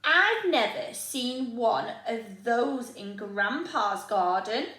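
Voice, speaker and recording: A woman voicing a young storybook boy who is proud of his grandpa and stubbornly unimpressed, in a slow, exaggerated delivery. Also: fairly high pitch, expressive, pushed and bright, heavily acted. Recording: echoey room.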